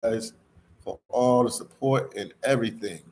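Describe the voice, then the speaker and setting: A man's voice: mid-range voice, moderate pace, darkish tone. A man hosting a casual livestream, chatting to viewers and reading their comments, with a slangy, repetitive way of talking that often addresses them as "man". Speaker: live stream host reading viewer comments. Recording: clear background ambient noise.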